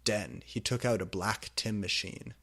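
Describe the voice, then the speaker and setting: A man reading fan fiction aloud with deliberate, theatrical, comedic narration. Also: on the low side, pushed and bright.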